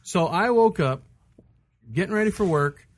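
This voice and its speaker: A man's voice: high voice, expressive, normal speed, no echo. A man on a podcast panel chatting casually in a rambling, filler-heavy way. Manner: rambling, peppered with "like". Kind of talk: casual chat.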